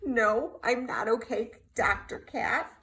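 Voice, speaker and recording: A woman voicing an anxious, dramatic male elephant who wails and sneezes loudly. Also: on the high side, slow pace, pitched below the performer's own voice. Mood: woeful.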